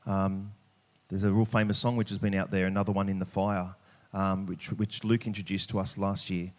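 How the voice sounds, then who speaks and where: Man preaching with emphatic, deliberate pauses. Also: low voice, little pitch movement. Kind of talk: sermon with scripture reading.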